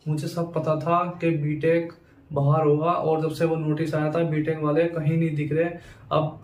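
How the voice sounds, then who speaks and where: Young male education vlogger, higher-pitched and monotone, insistent, repetitive, informal address to students. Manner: repetitive, insistent, frequent verbal tags. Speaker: education YouTuber. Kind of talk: informal talk to camera for students. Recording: roomy echo, distant mic, faint noise.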